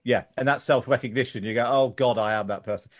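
A man's voice: medium pitch, steady tone, quick pace, lo-fi sound. A man hosting a casual interview, asking questions enthusiastically and laughing easily.

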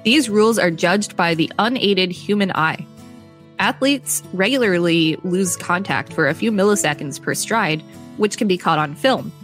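Female narrator, lower register, scripted informational read with occasional casual asides, faint guitar underneath. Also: moderate pace, a bit bright. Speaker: co-host reading an article aloud. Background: faint guitar music.

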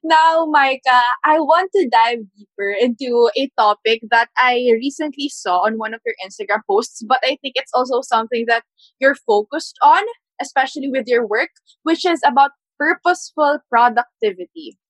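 A Filipino woman hosting a podcast, with a clearly high voice recorded dry and close. She moves between English and Tagalog as she talks with her guest. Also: normal speed, no echo, poor audio. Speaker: podcast host. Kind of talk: casual interview.

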